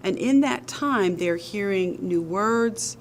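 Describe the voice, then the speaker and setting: A woman being interviewed as a nonprofit's executive director. She explains her program in a measured, earnest way, with an occasional um or uh. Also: mid-range voice, animated, slight background noise.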